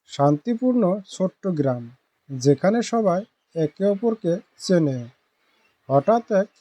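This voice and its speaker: Slow, mellow female storyteller. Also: mid-range voice. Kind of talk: scripted narration of a village fable.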